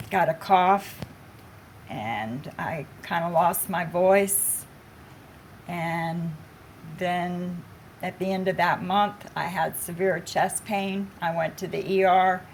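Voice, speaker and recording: Woman on a panel, talks real slow and halting, lots of uh's, gravelly voice, bit of hiss behind. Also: lowish voice. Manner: slow, halting, with many fillers. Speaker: patient panelist. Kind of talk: panel discussion, personal story.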